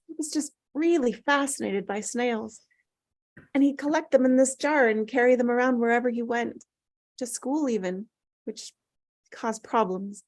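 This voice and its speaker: A woman acts an anxious, grieving mother in a drama read-through. The voice sits fairly high. She speaks haltingly, apologetic yet gently insistent. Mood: wistful. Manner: hesitant, halting. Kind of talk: table read of a drama script.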